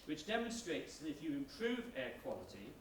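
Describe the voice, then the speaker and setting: A man's voice: a little high, huge pitch swings, moderate pace. Guy doing an emphatic research talk, kinda far from the mic, echoey room. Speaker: academic researcher presenting. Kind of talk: persuasive public presentation. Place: large indoor room at a public meeting. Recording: roomy hall, distant mic, background noise.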